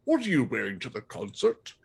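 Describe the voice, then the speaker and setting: A man acts out a creaky old wizard headmaster from a comic fan fiction reading. The voice is husky and gravelly, rising and falling freely in casual chat. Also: fairly low pitch, huge pitch swings, fairly quick, heavily acted. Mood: inquisitive.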